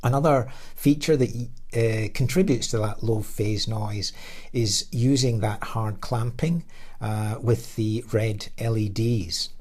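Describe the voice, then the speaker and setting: Low-voiced man giving a technical presentation. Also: steady tone, a little mellow. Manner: measured, hesitant, with pauses mid-phrase. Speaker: radio design engineer presenting his product. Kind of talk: technical slide presentation narration.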